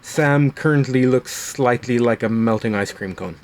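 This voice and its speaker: A man's voice: medium pitch, moderate pace. Man, Irish accent, co-host, dry, teasing. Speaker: podcast co-host. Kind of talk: casual chat.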